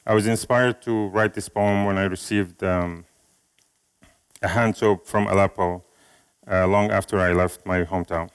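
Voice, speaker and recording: A man from Syria whose first language is Arabic, giving a slow, measured reading of a speech and a poem at an event. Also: deep voice, fairly even intonation, clean tone. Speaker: speaker at an event.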